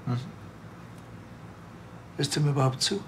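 A man's voice: mid-range voice, unhurried, a bit bright, slight background noise, lightly acted. An actor playing a sarcastic village policeman in a TV drama, with a Bavarian accent and dry, sardonic manner. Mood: irritated. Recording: echoey room, music behind.

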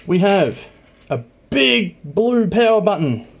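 A man's voice: high voice, very animated, takes it slowly, vocal fry. A man in his twenties giving a casual, chatty hands-on narration as a video blogger, recorded on a handheld camera in a small room at home. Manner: informal, chatty. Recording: faint background noise.

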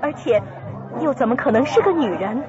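A woman's voice: high-pitched, animated, brisk. A woman giving dramatic scripted narration for a radio drama. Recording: muffled audio, music and crowd behind.

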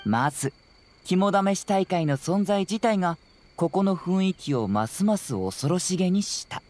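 A man voicing a youthful, confident detective in an anime game, speaking in a measured, analytical, explanatory way. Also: high-pitched, expressive, darkish tone, slight background noise. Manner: measured, explanatory, self-assured. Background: faint background music.

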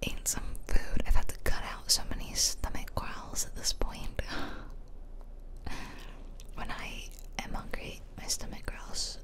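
A woman's voice: a little slow, hardly any pauses. A woman whispering casual, chatty ASMR commentary over a video game, close to the microphone. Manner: casual, chatty asides to viewers. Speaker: gaming video host. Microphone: close-up microphone, ear-to-ear whispering.

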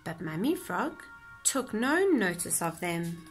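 A woman narrating a children's picture book in an expressive storytelling style. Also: fairly low pitch, slow, soft background music.

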